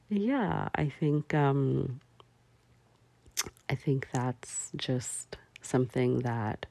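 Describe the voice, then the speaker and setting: Slow, gravelly-voiced woman talking casually. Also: very deep voice, dark tone, dry recording. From parents from Barbados. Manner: slow, halting, many fillers. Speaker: podcast host. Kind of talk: casual solo talk.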